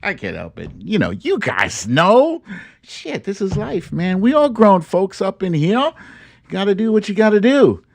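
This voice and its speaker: A man's voice: sits high, wildly swooping pitch, a little slow, gravelly, no room sound. An American man in his fifties hosting a casual live online show, playful and jokey, drawing out words theatrically. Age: 50s. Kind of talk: casual livestream chat.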